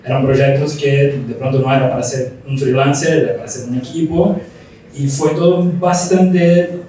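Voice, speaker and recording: A man from Brazil with a Brazilian accent mixed with Argentine Spanish, telling his story in a reflective, unhurried way during a casual interview. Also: mid-range voice, fairly even intonation, warm tone. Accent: Brazilian Portuguese with Argentine Spanish. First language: Portuguese. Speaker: studio founder, interview guest. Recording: echoey room, distant mic, faint hum.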